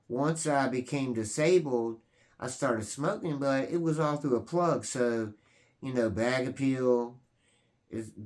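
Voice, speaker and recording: A man in his late forties speaks in a loose, rambling way, full of ums and restarts. His tone is earnest and plain, with a voice at an ordinary middle pitch for a man. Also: a little mellow. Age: 40s. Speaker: channel host. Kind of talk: casual vlog talk.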